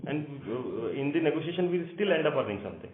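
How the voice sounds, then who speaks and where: An Indian-accented man, a company executive giving halting, off-the-cuff answers with repeated phrases on an earnings call. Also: on the high side, animated, a little hiss or hum. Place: conference call. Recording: roomy echo, narrow phone-like line.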